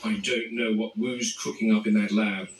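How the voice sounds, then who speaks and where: A man playing a grave, wary animal expert who narrates found footage in measured, articulate, formal phrases. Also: fairly low pitch, level tone, close to an everyday voice. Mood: clinical, wary. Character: male game warden and animal expert, grave, wary, exacting. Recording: echoey, noisy VHS-style found footage, distant.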